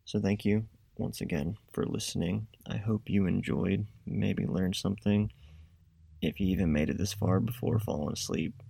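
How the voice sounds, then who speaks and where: A young man, a student making a class podcast, telling a travel story in a casual, self-described monotone voice. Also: deep voice, moderate pace, vocal fry, slightly rough, no echo. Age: young adult.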